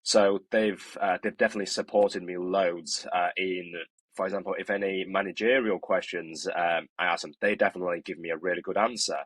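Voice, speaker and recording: A man's voice: low-pitched, fairly even intonation, a little fast, a bit bright, breathy edge, dry recording. A man, a construction student speaking earnestly and hesitantly in a podcast interview. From northeast China. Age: 20s. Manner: earnest, hesitant, many restarts.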